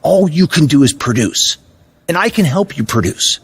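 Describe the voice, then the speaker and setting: A man playing a stern, frank veteran basketball coach in a video game cutscene, blunt and firm but supportive in a measured delivery. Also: fairly high pitch, big pitch swings, slightly rough, heavily acted. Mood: firm, urging.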